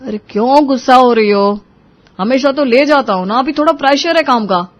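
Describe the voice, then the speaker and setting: Man acting a high-pitched young office worker, muffled sound. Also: moderate pace. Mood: placating. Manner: colloquial, persuading, placating. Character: young office worker, weary husband or advising friend. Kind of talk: dramatised audio storytelling.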